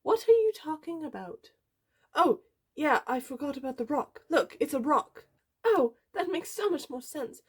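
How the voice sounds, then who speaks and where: A woman voicing a scatty, blunt female science teacher with a shrill, exclaiming delivery in a comic story. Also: very animated, normal speed.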